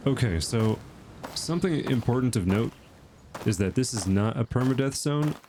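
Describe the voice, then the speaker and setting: A man's voice: mid-range voice, expressive, moderate pace, mellow, a little hiss or hum. A man hosting a casual gameplay video, chatting and thinking aloud with lots of fillers while his nose is stuffed up from allergies. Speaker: gaming channel host.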